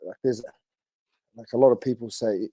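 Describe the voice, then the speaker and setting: A man in his thirties with a New Zealand accent talks in a loose, laid-back way, often tossing in "bro". His voice is warm and mellow. The sound is dry and close. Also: medium pitch, normal speed, slightly rough, no room sound. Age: 30s. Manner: laid-back, relaxed storytelling with frequent "bro" and fillers. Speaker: guest, rugby league player. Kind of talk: casual podcast chat.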